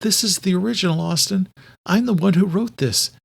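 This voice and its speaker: Audiobook role: man plays emotional, spirited young sister, voice lifted high, quick to snap back. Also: creaky, husky, heavily acted.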